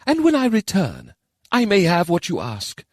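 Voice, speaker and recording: A man reading the part of a solemn older female elven keeper, speaking in a measured, grave way. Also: sits high, huge pitch swings, dry recording, clean studio sound, pitched above the performer's own voice, full character voice. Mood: formal.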